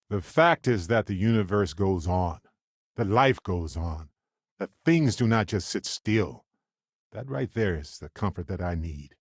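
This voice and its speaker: A talkative, genial explorer and scientist from a space video game. His voice sits a little low but moves in big, lively swoops, with a wry, theatrical delivery. The sound is close and dry. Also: breathy edge, no room sound, heavily acted. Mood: reflective, sincere. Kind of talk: video game character voice lines.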